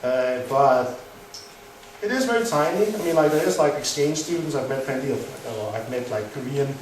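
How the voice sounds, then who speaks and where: A young Danish man, a native Danish speaker, answering audience questions in English with many pauses and restarts. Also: medium pitch, expressive. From Denmark. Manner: hesitant, many fillers and restarts. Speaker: guest speaker. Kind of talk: live Q&A answers. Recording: echoey hall, mic far off, noisy.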